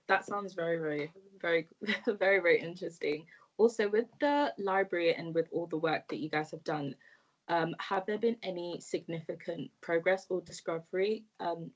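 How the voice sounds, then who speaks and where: A woman's voice: fairly low pitch, moderate pace. A woman hosting a casual interview, asking questions in a chatty way full of fillers like um and you know. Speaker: interview host. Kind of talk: casual fireside chat interviewing. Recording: dull lo-fi audio.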